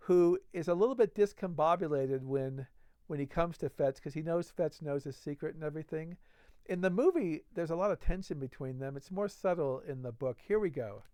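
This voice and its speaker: A man's voice: a little high, dark tone, smooth, dry recording. A man hosting a book-reading series, casually introducing and narrating classic stories.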